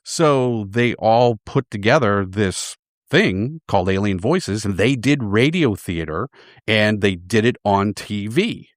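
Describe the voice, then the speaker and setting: A man's voice: fairly low pitch, expressive, slow, dry recording. An American man from Boston talking casually and anecdotally as a guest in a radio studio interview. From Boston, USA. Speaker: radio theater founder, guest.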